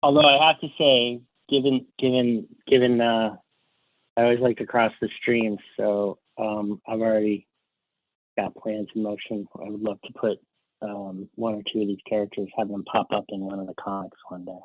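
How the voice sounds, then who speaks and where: A man's voice: fairly low pitch, little pitch movement, normal speed, no room sound, phone-quality sound. A man speaking casually as a writer guest on a podcast, often interrupting himself and joking.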